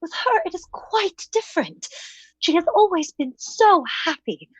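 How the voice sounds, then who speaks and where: A woman playing a gentle, sweetly sure young woman, earnest, in an old-time radio drama style. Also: very high voice, very animated, measured pace, dry recording, dull audio, full character voice. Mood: reassuring.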